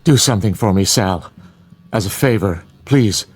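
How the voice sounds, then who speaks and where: An actor playing a weary, dignified old schoolteacher and protective father in a radio drama, speaking politely and gravely in measured phrases. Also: on the low side, dry recording. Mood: grave, pleading. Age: older.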